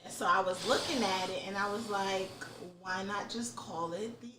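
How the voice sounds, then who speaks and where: A young woman hosts a laid-back podcast, thinking aloud and swearing freely. She sits a little away from the mic in a room with noticeable echo, her pitch sitting in an ordinary middle range. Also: fairly even intonation, moderate pace, recorded from a distance, noisy background. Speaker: podcast host. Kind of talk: casual chat.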